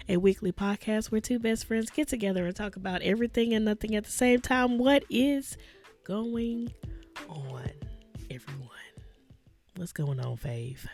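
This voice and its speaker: A woman co-hosting a casual podcast chat, stuffy-nosed from a cold, laughing often and playful. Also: fairly high pitch. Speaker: podcast co-host.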